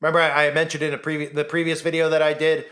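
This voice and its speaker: Higher-voiced man, insistent commentator. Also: monotone, very fast, fairly bright tone. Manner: insistent, rhetorical, often tags statements with "right?". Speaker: online commentator. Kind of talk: informal conspiracy commentary monologue.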